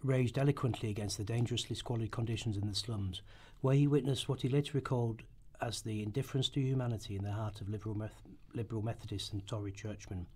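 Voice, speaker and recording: A man's voice: fairly low pitch, steady tone, quick pace, few pauses, darkish tone, husky. A man reading a script aloud as a narrator in rehearsal, now and then stumbling over his words. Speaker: radio play narrator in rehearsal.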